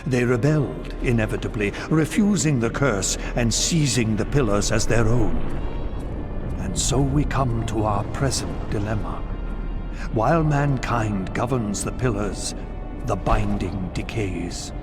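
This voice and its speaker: A deep, solemn vampire elder. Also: male voice, animated, measured pace, over loud music. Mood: grave, solemn. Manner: grave, formal, portentous. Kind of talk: theatrical video game acting.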